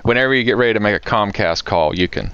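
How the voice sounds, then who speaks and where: A man's voice: lowish voice, fast, fairly bright tone, clean tone, dry recording. A man from the United States co-hosting a podcast, chatting casually and telling stories in a jokey way. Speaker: podcast co-host. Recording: dull, lo-fi podcast audio.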